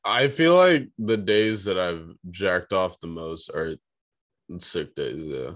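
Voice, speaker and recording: A man's voice: low-pitched, animated, slow pace, a bit bright, dry recording, studio quality. A hungover man co-hosting a podcast, laid-back and laughing often. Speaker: podcast co-host. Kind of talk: casual podcast chat.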